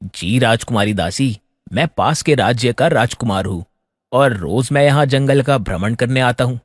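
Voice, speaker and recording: पुरुष के अभिनय में गूंजती आवाज़ वाला शालीन युवा राजकुमार. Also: male voice, mid-range voice, animated, moderate pace, no room sound, studio quality, in a large hall, close to an everyday voice. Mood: polite. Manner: polite, hesitant, formal. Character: young courteous, slightly flustered fairy-tale prince. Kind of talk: Hindi fairy tale audio acting.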